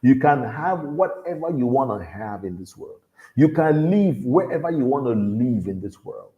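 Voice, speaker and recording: A man with a deep voice, giving an earnest motivational talk to young people and repeating his key lines for emphasis. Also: very animated, normal speed, lo-fi sound. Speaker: motivational speaker at a youth event.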